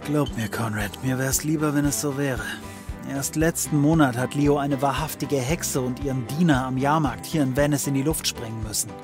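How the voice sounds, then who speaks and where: A man's voice: medium pitch, moderate pace, lightly acted. Mid-range male voice, man acting dry weary occult detective, audio play, music behind. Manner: dry, sardonic.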